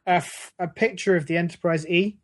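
A man telling comic stories casually on a podcast, in a halting, self-mocking, sweary way. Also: high-pitched, steady tone, no echo, studio quality. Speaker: podcast co-host.

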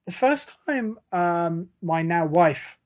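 A man telling comic stories casually on a podcast, in a halting, self-mocking, sweary way. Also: fairly high pitch, expressive, dry recording, studio quality. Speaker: podcast co-host.

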